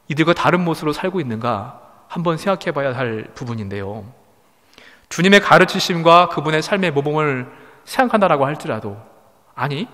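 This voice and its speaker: A male pastor delivers an explanatory sermon in a fairly high voice that rises and falls widely. His phrasing is formal and deliberate, stressing key words. The sound carries a long reverb, as in a large hall. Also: fairly bright tone.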